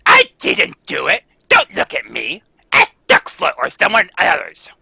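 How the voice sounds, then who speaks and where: A man playing a sharp-tongued woman animal trainer. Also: on the high side, huge pitch swings, unhurried, gravelly, husky, no echo, studio quality, heavily acted. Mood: frantic. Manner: defensive, snappy, hushed then shouting. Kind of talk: live old-time radio table read.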